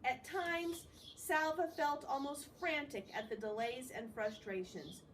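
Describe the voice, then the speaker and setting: High-voiced woman reading a story aloud. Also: fairly even intonation, unhurried, fairly bright tone. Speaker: storybook reader. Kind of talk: expressive read-aloud with casual asides. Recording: distant mic with faint background noise.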